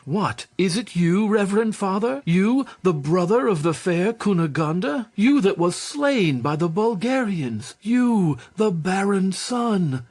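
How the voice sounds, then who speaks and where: A man's voice: high-pitched, slow, hardly any pauses, pitched above the performer's own voice, heavily acted. A man voicing an overjoyed, emotional young man who exclaims in amazement in a storybook reading. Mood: amazed, excited. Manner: excited, exclamatory.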